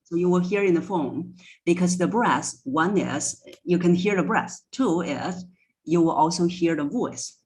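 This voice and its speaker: A woman's voice: on the low side, unhurried, a little mellow, rough recording. A woman speaking as a voice coach in an interview, explaining things step by step with examples. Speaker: voice coach, podcast guest.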